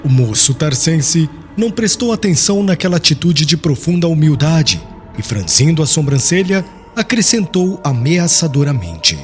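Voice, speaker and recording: Animated, high-pitched male narrator over music. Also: normal speed. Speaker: audiobook narrator. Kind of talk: dramatic scripted narration of religious historical novel. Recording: music clearly behind the voice.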